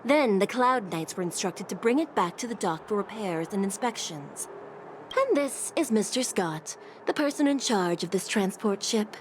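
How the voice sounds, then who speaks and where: A woman's voice: mid-range voice, expressive, normal speed, a little hiss or hum, close to an everyday voice, rough recording. A woman voicing a firm, weary port official. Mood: explaining. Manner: firm, exasperated, explanatory.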